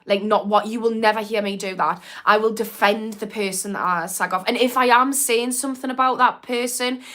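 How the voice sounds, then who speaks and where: A woman's voice: medium pitch, steady tone, a little fast, pushed and bright. A woman in her thirties with a mild Newcastle accent, chatting frankly on a livestream, advising viewers and reading out their comments. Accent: mild northern English (Newcastle). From Newcastle, England. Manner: frank, chatty, lots of "like" fillers. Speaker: mindset livestream host and former therapist.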